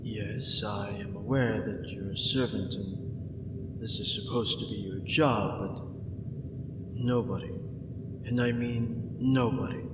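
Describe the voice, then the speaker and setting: A man plays a young heir to a dark fantasy throne in an audio roleplay. He is dorky, swinging between pompous and awkwardly considerate, with slow delivery. The voice sits back from the mic in a long reverb, over noisy ambience. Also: fairly low pitch. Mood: gentle, apologetic. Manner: grandiose monologue giving way to awkward, hesitant talk. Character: dorky young dark prince, pompous when rehearsing, apologetic and kind. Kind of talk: scripted ASMR roleplay acting. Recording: echoey hall, distant, noisy ambience.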